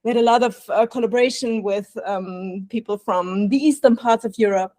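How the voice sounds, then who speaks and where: A woman's voice: medium pitch, normal speed, a little mellow, no room sound. A woman from Austria with an Austrian accent, a burnout prevention coach telling her story in a podcast interview, reflective and laughing often.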